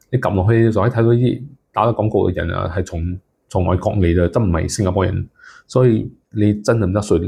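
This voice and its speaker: Guy vlogging some advice, mellow gravelly voice, throws english words in. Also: on the low side. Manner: mixes English words into speech. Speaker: solo content creator. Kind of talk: casual public service advice to viewers.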